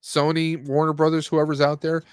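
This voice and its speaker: A man's voice: a little high, completely flat delivery, moderate pace, clean tone, no echo. A man hosting a live stream, giving a casual, rambling, jokey movie review.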